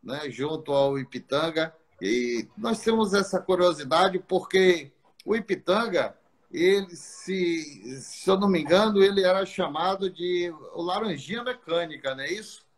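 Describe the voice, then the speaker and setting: A man hosting a live online interview, speaking slowly and haltingly, repeating words as he builds his questions. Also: sits high, lively intonation. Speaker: interview host, football schools federation.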